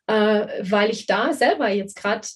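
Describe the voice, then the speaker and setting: A woman's voice: medium pitch, measured pace. Chatty German-speaking mother, lots of fillers. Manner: chatty, many fillers and restarts, agrees often. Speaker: guest, mother raising bilingual children. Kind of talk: casual conversation.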